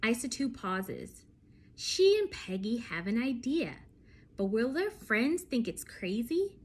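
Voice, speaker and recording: A woman reading a picture book aloud to young children, telling it expressively with deliberate phrasing and dramatic pauses. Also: on the high side, sing-song, darkish tone, slightly rough.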